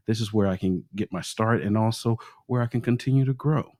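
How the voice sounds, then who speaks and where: A man's voice: fairly low pitch, fairly quick, a little mellow, dry recording, studio quality. A man hosting a podcast, asking long, winding questions he explains at length. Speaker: podcast host. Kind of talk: interview questions.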